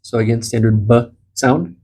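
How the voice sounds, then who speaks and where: An American man in his thirties teaches a small informal language group. His voice sits at a medium pitch. He explains patiently and encourages his learners as he goes. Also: normal speed, mellow, slightly rough. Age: 30s. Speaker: Spanish teacher. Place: small indoor room beside a large monitor.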